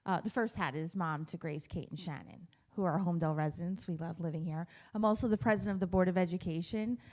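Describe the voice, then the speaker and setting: A woman's voice: on the low side, fast, a little mellow. A woman in her forties, a lawyer and school board president, speaking earnestly to a panel. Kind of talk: casual remarks to a panel.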